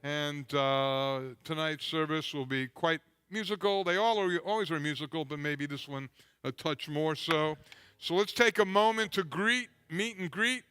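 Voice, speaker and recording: A man leading a worship service, singing slow repeated lines and then talking casually to the congregation in a synagogue sanctuary. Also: fairly high pitch, sharp tone, clean tone, no echo. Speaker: service leader. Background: guitar music.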